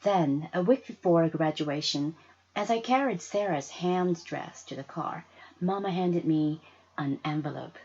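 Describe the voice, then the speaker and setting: A woman reading a story aloud with expressive narration. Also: low voice, unhurried, husky, muffled recording. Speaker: story reader.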